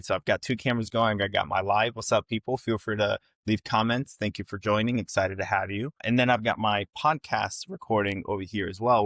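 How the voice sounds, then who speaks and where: A young man speaks informally to his online audience in a fairly low voice. The sound is dry, with little room around it. He addresses viewers directly and checks in often, like a content creator hosting his own livestream. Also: a bit bright, no room sound. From Ecuador and North Carolina. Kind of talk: casual livestream monologue to camera.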